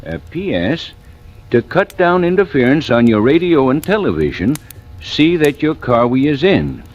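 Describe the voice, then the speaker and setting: An actor playing a folksy, good-humored sidekick in a vintage radio commercial skit, comically hesitant in delivery. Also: male voice, a little high, big pitch swings, moderate pace. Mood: amused, comic. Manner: hesitant, sing-song when reciting, comic. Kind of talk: 1940s-50s radio ad skit acting. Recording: muffled vintage radio recording.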